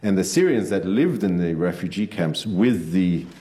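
A man speaking as a guest on a panel, halting and full of fillers and restarts. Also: low voice, animated, mellow. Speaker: author guest. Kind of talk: panel discussion. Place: on stage before an audience.

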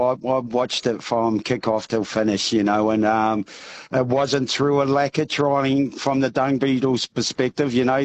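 Croaky-voiced man on a phone line. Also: medium pitch. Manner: rambling, many fillers. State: hoarse, croaky voice. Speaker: rugby club founder, interviewee.

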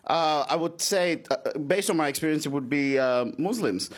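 Husky, high-pitched male comedian from Sri Lanka. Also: normal speed. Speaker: comedian panellist. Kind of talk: joking anecdote on a panel show.

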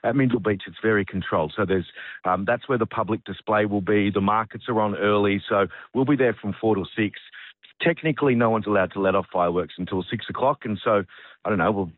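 A man's voice: fairly low pitch, fairly quick, no echo. A man, a radio presenter chatting in a jokey, laughing way. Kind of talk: casual radio chat. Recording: tinny phone line.

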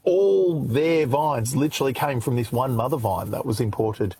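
A man's voice: medium pitch, normal speed, creaky, warm tone, a little hiss or hum, rough recording. An Australian man chatting on radio as a regular guest, talking easily with fillers and restarts. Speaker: rural radio presenter, guest contributor. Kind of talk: casual radio chat.

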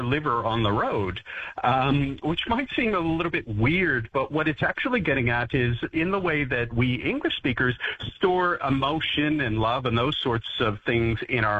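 Enthusiastic linguist on a dull, husky line. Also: male voice, mid-range voice, a bit bright. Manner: enthusiastic, explanatory. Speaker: linguist guest. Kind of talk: radio interview.

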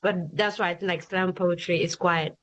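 A woman's voice: lowish voice, fairly even intonation, moderate pace, fairly bright tone. Woman, from Somalia, poet guest, hesitant fillers, slightly dull recording. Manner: hesitant, many fillers, laughs often. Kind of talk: casual interview chat.

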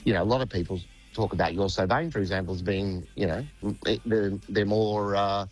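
Low-voiced rock singer, relaxed. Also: male voice, a little fast, faint music behind. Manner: relaxed, rambling, many fillers, says "mate". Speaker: lead singer guest. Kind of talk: phone or studio interview.

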